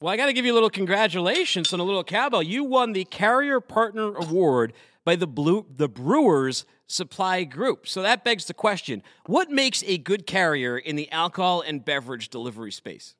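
Lively high-voiced man, podcast host. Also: dry recording, studio quality. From Massachusetts. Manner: animated and jokey, fast filler-laden talk. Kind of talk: casual chat.

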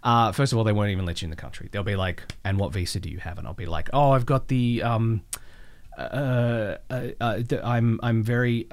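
A man's voice: fairly low pitch, a little fast. A man with an Australian accent telling stories casually as an interview guest, wry and jokey, often acting out imagined bits of dialogue. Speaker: podcast guest, voice actor. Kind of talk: casual conversational storytelling.

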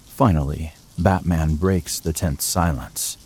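A man's voice: very deep voice, slow, darkish tone, slightly rough. A man reading scripted third-person narration for an audio drama.

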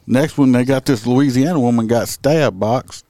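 A man's voice: medium pitch, moderate pace, dark tone, no echo. A middle-aged man co-hosting a podcast, swearing and joking crudely, with grown children of his own. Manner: joking, crude, puts on silly voices. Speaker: podcast co-host. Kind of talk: crude comedic banter.

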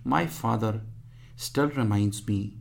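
A man, being interviewed and speaking haltingly with many restarts; English is his third language. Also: on the low side, creaky. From Pakistan. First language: Punjabi or other regional language; Urdu second, English third. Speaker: guest. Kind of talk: casual interview.

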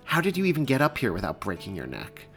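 A man's voice: medium pitch, wildly swooping pitch, fast talker, vocal fry, soft background music. A man voicing a dryly sensible, grudging teenage boy in an audiobook story. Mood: dry, puzzled. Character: curt, grudging eighteen-year-old boy, dryly sensible. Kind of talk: audiobook character dialogue.

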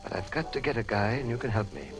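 A man's voice: fairly low pitch, sing-song, fast, poor audio. A shifty, genteel ex-con in a 1950s radio crime play. He talks in careful, wordy phrases laced with underworld slang, bitter and secretive. Music sits behind the old recording. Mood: confiding, bitter. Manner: precise wordy diction mixed with underworld slang. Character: shifty genteel male ex-convict book swindler, bitter, secretive.

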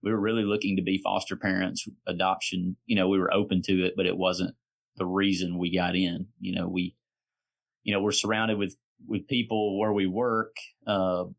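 A man with a Southern American accent talks casually as an interview guest. His pitch is somewhat low, with a noticeable gravelly creak running through the voice. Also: flat delivery, moderate pace. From Southern United States. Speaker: podcast guest, foster and adoptive father. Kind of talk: casual chat.

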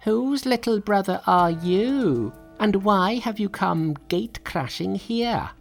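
A cocky male trickster monkey from a storybook, pitched high and swooping with mockery. He boasts and taunts at an unhurried pace, with music behind. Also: expressive, creaky, heavily acted. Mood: mocking. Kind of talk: storybook character voice.

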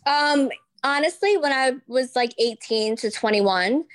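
A woman's voice: sits high, animated, unhurried. A woman co-hosting a podcast in casual chat, blunt and sweary as she banters. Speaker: podcast co-host.

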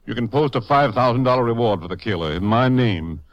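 An actor playing a distraught, impatient hardware storekeeper and ranch partner, agitated and emotional, in a 1950s radio western. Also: male voice, mid-range voice, lively intonation, brisk, no room sound, highs cut off, close to an everyday voice. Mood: angry, distraught.

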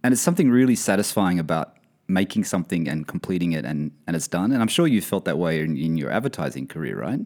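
A man hosting a casual podcast, talking in a relaxed, rambling way with many fillers, his voice a bit croaky from a cold. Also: low-pitched, fast talker. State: croaky, coming down with something. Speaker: podcast host. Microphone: podcast mic over video call.

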